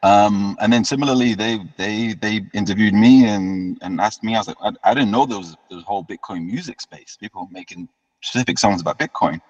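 Low-voiced man, dull reverberant sound. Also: brisk. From United Kingdom. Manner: relaxed storytelling, often repeats words as he restarts. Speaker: guest musician. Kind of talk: casual interview chat.

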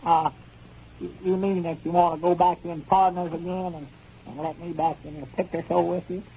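A noisy old 1930s radio recording carries an aggrieved male country storekeeper. His voice is high-pitched, with a rural Southern drawl. He is folksy, flustered and quick to grumble. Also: level tone, mellow, lo-fi sound. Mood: uneasy. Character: touchy, aggrieved small-town male storekeeper in rural Arkansas. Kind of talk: 1930s radio comedy acting.

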